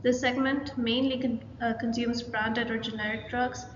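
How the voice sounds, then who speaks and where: A woman's voice: on the high side, normal speed, lo-fi sound, a little hiss or hum. Young woman reading slides in an echoey room. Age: young adult. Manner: even, read-aloud delivery with list-like pacing. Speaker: student presenter. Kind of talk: scripted presentation narration.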